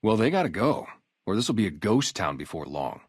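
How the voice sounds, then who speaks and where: Low-voiced, streetwise wasteland sniper guard. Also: male voice, big pitch swings, moderate pace, breathy edge, no room sound, clean studio sound. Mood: matter-of-fact. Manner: casual, streetwise, conversational. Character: gruff ex-gang sniper guarding a wasteland town, loyal, guarded. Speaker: security guard and former soldier. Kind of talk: video game character dialogue, naturalistic.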